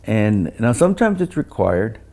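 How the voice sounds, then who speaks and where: A man's voice: lowish voice, very animated, measured pace, gravelly, warm tone. A middle-aged or older man talking casually as an engineer, explaining through anecdotes and often restarting his phrases. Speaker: veteran loudspeaker engineer. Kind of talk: casual technical conversation.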